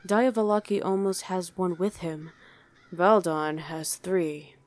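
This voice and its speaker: A woman voicing a guarded demon who was once a human mother, in an intimate ASMR roleplay with halting, emotional delivery. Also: fairly low pitch, a little mellow. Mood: matter-of-fact. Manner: halting, emotional, speaks directly to one listener.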